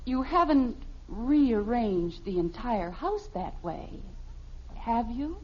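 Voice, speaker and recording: A woman's voice: on the high side, big pitch swings, takes it slowly, breathy edge, full character voice. An American actress playing a middle-aged wife and mother in a 1950s radio comedy, dry, exasperated and incredulous. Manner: dry, incredulous, pointed questioning. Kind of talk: 1950s radio sitcom acting. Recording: noisy, muffled early 1950s radio recording.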